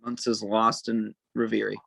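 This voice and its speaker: A man reading stage directions as narrator at a table read, with measured, dramatic phrasing. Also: fairly low pitch, completely flat delivery, creaky, bright, forceful tone, breathy edge, dry recording.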